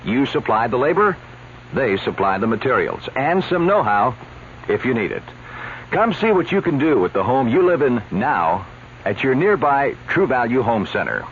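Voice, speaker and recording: A man reading a scripted radio commercial as a pitchman. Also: sing-song, normal speed. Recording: muffled, faintly noisy old radio broadcast.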